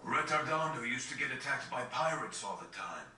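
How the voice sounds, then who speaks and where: Bright-voiced mischievous young townsman. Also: mid-range voice, animated, normal speed, slight background noise. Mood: explaining. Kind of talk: video game cutscene voice acting. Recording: echoey, slightly distant game audio.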